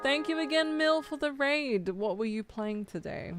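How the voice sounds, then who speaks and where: A woman streamer chatting casually with her viewers while playing a game, chatty and animated. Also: sits high, moderate pace, soft background music. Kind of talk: casual gaming livestream chat.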